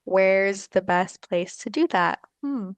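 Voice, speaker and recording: A woman's voice: medium pitch, takes it slowly, no echo. A woman hosting a university event, upbeat as she thanks one speaker and calls up the next. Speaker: event host.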